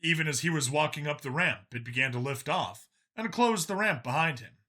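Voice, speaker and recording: Bright, forceful male story narrator. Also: on the high side, animated, brisk. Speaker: audiobook narrator.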